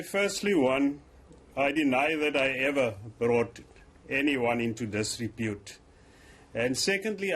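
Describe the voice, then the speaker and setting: A man whose first language is Afrikaans, speaking English with an Afrikaans South African accent, making deliberate, emphatic points in a formal TV interview. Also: fairly high pitch. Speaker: interviewee, police officer.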